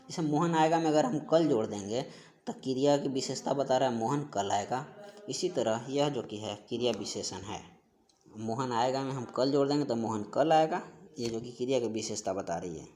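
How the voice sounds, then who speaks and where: Man teaching grammar, steady explaining. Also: medium pitch, slight background noise. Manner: explains steadily, repeats key words and answers. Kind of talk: exam-prep grammar lesson.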